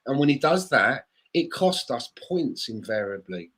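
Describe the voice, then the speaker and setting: A man's voice: mid-range voice, very animated, unhurried, a bit bright, breathy edge. A man on a casual sports podcast, blunt and swearing freely as he argues. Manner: blunt, swears freely, plays devil's advocate. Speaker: podcast co-host.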